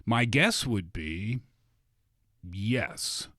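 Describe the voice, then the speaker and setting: A man's voice: on the low side, lively intonation, drawn-out words, fairly bright tone, no room sound. A man hosting a talk show with casual studio banter.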